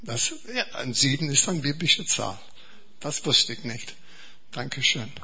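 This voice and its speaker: English guy preaching in german, slow and earnest, bright but kinda breathy. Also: medium pitch, expressive, muffled recording. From England. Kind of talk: sermon.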